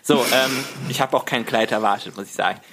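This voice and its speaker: A man's voice: mid-range voice, brisk, fairly bright tone, a little hiss or hum. Playful man chatting with English slang. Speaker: podcast guest, reporter. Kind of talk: casual banter chat.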